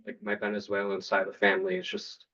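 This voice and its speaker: A man's voice: on the low side, completely flat delivery, fast, pushed and bright. A man in a class, talking casually and hesitantly, with restarts and lots of "like". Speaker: student in class. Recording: dull lo-fi class recording.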